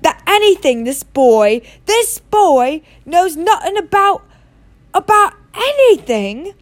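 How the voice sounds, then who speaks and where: A woman voicing a huge, gruff, fierce-tempered man with blunt rustic speech in a storybook reading. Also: high voice, sing-song, unhurried, no room sound. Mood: thundering, outraged.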